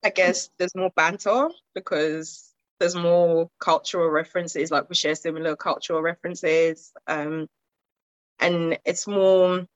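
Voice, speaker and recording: A woman's voice: fairly low pitch, steady tone, measured pace, no echo, muffled recording. A young woman from Zimbabwe in her twenties, talking about her poetry in a rambling, casual interview full of fillers. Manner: rambling, with frequent fillers and laughs. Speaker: guest poet.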